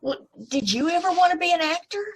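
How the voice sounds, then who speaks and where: A woman's voice: very high-pitched, a little fast, poor audio. A woman playing an earnest, anxious, polite young woman in an amateur old-time radio play. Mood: nervous, hesitant.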